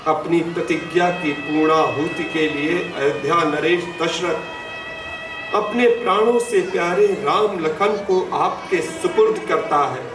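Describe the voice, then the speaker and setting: A man's voice: fairly high pitch, takes it slowly. An actor playing a noble, troubled king and doting father in a mythological drama, formal, reverent and solemn in his declamation. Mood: grave, resigned. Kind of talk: theatrical Hindi mythological audio drama. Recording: roomy distant playback with music behind.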